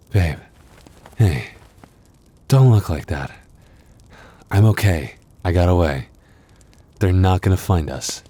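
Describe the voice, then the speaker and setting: A man acts out a werewolf boyfriend in an intimate audio roleplay, hurt and worn out. His voice is very low and he speaks slowly, in halting phrases broken by sighs. He stays tender and reassuring with his partner. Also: expressive, warm tone, slightly rough, heavily acted. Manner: halting, sighing, intimate delivery.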